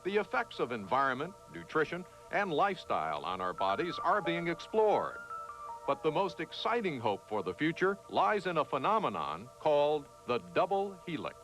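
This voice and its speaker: Animated man narrating a TV documentary over music. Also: a little high, normal speed, low-quality recording. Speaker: television news special narrator. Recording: 1980s television on VHS, music underneath. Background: background music.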